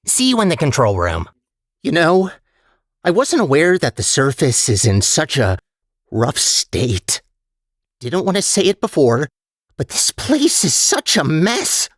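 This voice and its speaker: A man's voice: a little high, no echo. A chatty male robot core, played by a man, guides the player through a video game. The delivery is theatrical yet conversational, with widely swinging intonation and an anxious edge. It sounds dry, with no room around it. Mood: determined, eager. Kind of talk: animated video game voice acting.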